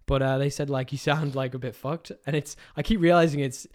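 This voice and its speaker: A man's voice: mid-range voice, steady tone, brisk. A man co-hosting a podcast in casual chat, a bit nasal from a stuffy nose, who laughs easily. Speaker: podcast co-host.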